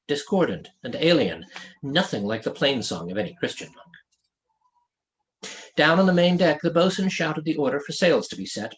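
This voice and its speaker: A man narrates a fantasy story as its author. His voice is a little high for a man and moves widely in pitch, giving the scripted prose an expressive shape. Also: low-quality recording. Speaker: author reading his own novel.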